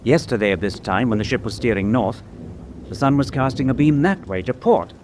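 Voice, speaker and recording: An actor playing a knowledgeable British merchant sailor held prisoner in a wartime radio drama, plain and explanatory in manner. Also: male voice, mid-range voice, lively intonation, a little fast, background noise, lightly acted. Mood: explaining, sober. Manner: matter-of-fact, explanatory.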